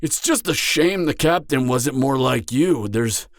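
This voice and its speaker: A man playing a vulnerable, earnest genderfluid half-giant mercenary in an intimate audio roleplay, speaking haltingly with stammers. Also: mid-range voice, animated, dry recording, clean studio sound. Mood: flustered, wistful.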